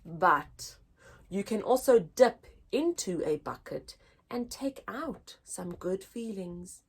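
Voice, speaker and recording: A woman hosting a children's story time, reading a picture book aloud in an expressive, deliberate, teacher-like way for young listeners. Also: medium pitch, slightly rough.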